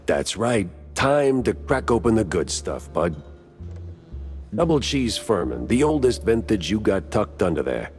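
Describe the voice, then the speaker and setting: An actor playing a hard-boiled, world-weary mouse private detective in a noir cartoon, with a low, gruff American voice and a wry, laconic, slangy way of talking. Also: male voice, very animated, unhurried, darkish tone, soft background music. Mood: wry, tired. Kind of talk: 1930s noir detective acting with brooding voice-over.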